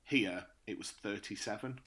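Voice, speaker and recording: A man, co-hosting a casual podcast chat, his voice a bit croaky from dry air, rambling with many fillers. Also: fairly low pitch, moderate pace, bright, forceful tone, a little hiss or hum. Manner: rambling, lots of fillers and restarts. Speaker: podcast co-host. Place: apartment abroad.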